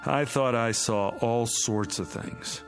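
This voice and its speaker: A man's voice: mid-range voice, slow pace, soft background music. An actor playing a weary, fond but exasperated suburban father in a naturalistic radio drama style. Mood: musing.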